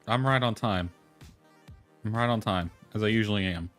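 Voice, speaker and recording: A man's voice: lowish voice, normal speed, fairly bright tone. A man giving casual live gameplay commentary, rambling and thinking aloud as he goes. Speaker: game streamer. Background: background music.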